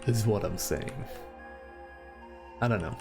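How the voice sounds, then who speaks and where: A man's voice: lowish voice, slow pace, vocal fry, music in the background. A man with a German accent giving casual, rambling commentary while playing a video game, chatty and self-deprecating. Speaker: let's play host.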